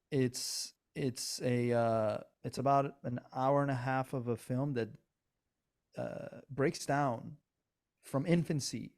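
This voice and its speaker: A man's voice: medium pitch, darkish tone, smooth. Earnest rambling man with a Persian accent. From Iran. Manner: earnest, rambling, many restarts. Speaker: podcast co-host. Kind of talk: casual political chat.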